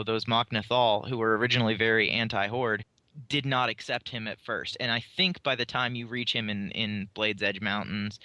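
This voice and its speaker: A man with a slightly low voice and a sharp, bright edge talks through fantasy lore as a podcast co-host. He explains casually and thinks as he goes, stopping to find the detail. The sound is dry, with little room. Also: dry recording.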